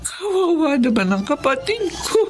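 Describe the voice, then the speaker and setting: An emotional middle-aged Filipina mother speaks in a dramatic radio play. Her voice is fairly high and swings widely in pitch, with soft music underneath. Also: very animated, moderate pace. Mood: tearful, longing. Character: emotional middle-aged mother, long-lost older sister.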